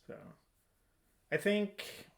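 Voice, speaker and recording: A man's voice: drawn-out words, a bit bright. A man hosting a casual podcast discussion, talking informally and a little hesitantly as he thinks aloud. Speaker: podcast host leading a discussion. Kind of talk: casual chat.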